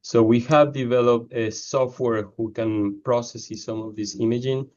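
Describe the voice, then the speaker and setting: A man from Ecuador answering interview questions about his research, often pausing with fillers. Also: mid-range voice, steady tone, darkish tone, lo-fi sound. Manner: hesitant, many fillers. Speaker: neurosurgeon researcher.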